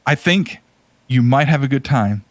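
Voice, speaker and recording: A man's voice: medium pitch, expressive, a little slow, dry recording. A man co-hosting a podcast, chatting casually and giving opinions in a blunt, informal, tongue-in-cheek way. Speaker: podcast co-host.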